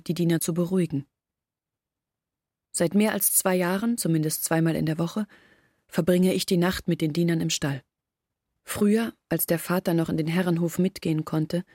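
An actress playing an earnest village woman who explains and confesses in a measured literary radio drama monologue. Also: fairly low pitch, dark tone, dry recording, clean studio sound, lightly acted.

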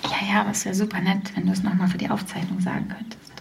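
An actress in her thirties playing a curious young woman who gently coaxes a witness with probing questions in an audio drama. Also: mid-range voice, level tone, fast talker, vocal fry. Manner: probing, gently coaxing, sometimes whispering asides. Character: curious young woman searching for a lost tape. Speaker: podcaster interviewing a witness.

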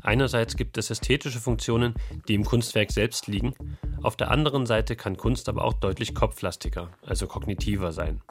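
A man's voice: lowish voice, brisk, slight background noise. A man reading a scripted, explanatory radio feature.

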